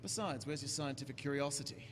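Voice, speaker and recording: A man's voice: medium pitch, fast, a little hiss or hum, close to an everyday voice. A man playing an eccentric, playful alien Doctor in a jaunty, theatrical way in a staged sci-fi radio play. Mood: persuasive, jaunty.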